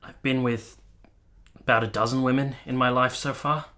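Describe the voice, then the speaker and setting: A male actor plays a lovesick young captain in a radio play, brooding and earnest. His voice is bright and forward, sometimes hesitant, as he narrates and speaks his heart. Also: medium pitch, fairly even intonation, normal speed, close to an everyday voice. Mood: nervous. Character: lovelorn, brooding young Italian army captain, earnest and self-pitying. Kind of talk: radio drama acting with first-person narration.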